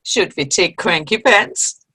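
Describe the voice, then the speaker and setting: A woman's voice: lowish voice, animated, slow pace, pushed and bright, breathy edge, heavily acted. A woman playing a cheerful, teasing grandmother among old friends in an amateur comedy play reading. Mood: amused. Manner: good-humored, teasing. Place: online video-call play reading.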